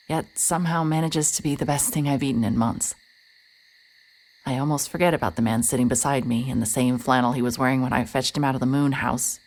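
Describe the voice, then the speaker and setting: A woman's voice: very deep voice, a little mellow, poor audio. A woman playing a hard-hearted, wry private investigator in a mystery audio drama, with a dry, guarded delivery. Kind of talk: cinematic audio drama acting, noir first-person narration.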